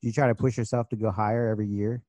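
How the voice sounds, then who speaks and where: A man hosting a podcast, asking casual, halting questions full of fillers. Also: fairly low pitch, flat delivery, warm tone, no room sound. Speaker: podcast host.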